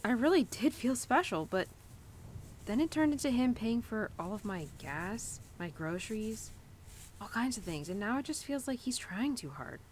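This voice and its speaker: Woman as young cowgirl, independent and conflicted; animated, informal, intimate monologue for audio roleplay, some background noise. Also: fairly high pitch, normal speed. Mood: frustrated, hurt. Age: young adult. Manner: chatty, hesitant, informal, peppered with "like" and "you know". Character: young cowgirl venting to her horse, conflicted and stubbornly independent.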